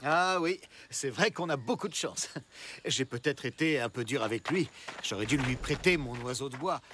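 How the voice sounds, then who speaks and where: A man voicing a fussy, excitable father and inventor in a cartoon, flustered and exclamatory. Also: mid-range voice, normal speed, background noise, natural voice. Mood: contrite, fond. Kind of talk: French animated series dubbing.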